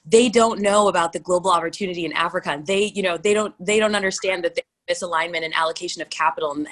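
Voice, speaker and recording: A young American woman, a venture investor, talking fast and animatedly in an unscripted interview, with frequent restarts and fillers. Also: sits low, a bit bright. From United States. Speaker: venture capital investor, guest.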